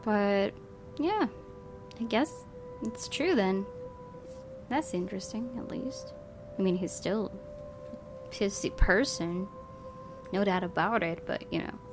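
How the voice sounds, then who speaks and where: A woman streamer reads a visual novel aloud, slipping between narration and casual commentary. Her voice is medium in pitch, with game music clearly audible behind it. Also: animated, slow, long pauses. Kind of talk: reading game text aloud with casual reactions.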